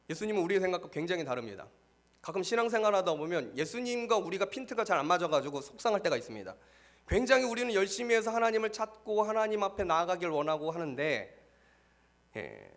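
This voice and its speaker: A man preaching a sermon as pastor, hoarse from a cold, reading scripture and then explaining it earnestly. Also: fairly bright tone. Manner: earnest, rises in pitch and force when stressing a point. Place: church.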